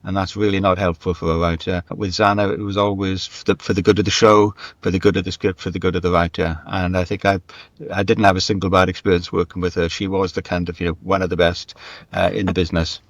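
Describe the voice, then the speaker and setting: Low-voiced mature man being interviewed. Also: little pitch movement, fast, no room sound. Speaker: interview guest, screenwriter. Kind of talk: conversational interview, recounting a career.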